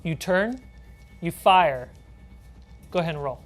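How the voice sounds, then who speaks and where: Female game master, low register, narrating and prompting players over faint background music. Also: lively intonation, unhurried. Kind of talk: narrating a tabletop role-playing game.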